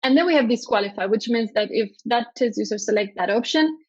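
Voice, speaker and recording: A woman's voice: fairly high pitch, fast, darkish tone, slightly rough, no room sound, dull audio. A woman from Spain with a Spanish accent, giving a conference talk over a video call, with lots of ums and uhs. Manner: hesitant, frequent ums and uhs. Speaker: conference speaker. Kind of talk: conference presentation.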